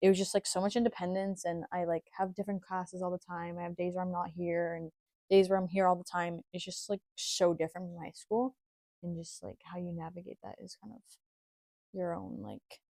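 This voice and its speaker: A woman's voice: lowish voice, steady tone, brisk, creaky, mellow, no room sound. A nineteen-year-old woman co-hosting a podcast in casual chat, often chiming in with agreement. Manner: supportive listener, frequent agreement. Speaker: podcast co-host.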